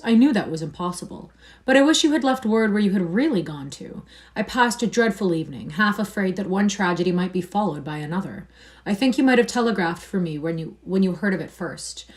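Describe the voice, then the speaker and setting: A woman reading aloud as a troubled male painter in a storybook reading. He sounds grave and reproachful, pleading earnestly in a voice pitched slightly low. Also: darkish tone, lightly acted.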